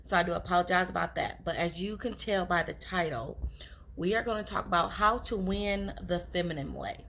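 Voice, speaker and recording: A young woman with a raspy, hoarse voice, chatting casually to her viewers about relationships. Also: on the low side, fairly quick, fairly bright tone. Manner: chatty, confiding, addresses viewers as girls. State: hoarse from talking all day. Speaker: relationship advice vlogger. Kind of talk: casual advice talk to camera.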